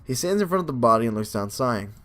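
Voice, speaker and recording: A man's voice: medium pitch, big pitch swings, rapid-fire, bright, forceful tone. A man doing casual let's play commentary, reading game narration aloud and adding informal, joking asides. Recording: faint game music behind.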